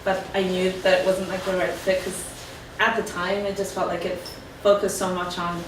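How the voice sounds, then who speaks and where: Chatty woman giving career tips, slightly low voice, noisy hall sound. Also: level tone, normal speed. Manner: chatty, many fillers. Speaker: alumna panelist. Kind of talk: casual career advice on a panel. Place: lecture room. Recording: echoey room, distant mic, background noise.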